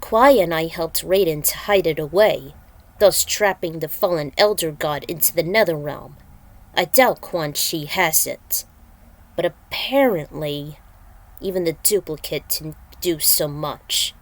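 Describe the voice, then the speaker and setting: A woman's voice: low-pitched, unhurried. A woman voicing a grave male ninja warrior in a fan fiction story, with a solemn, declarative delivery. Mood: grave, thoughtful.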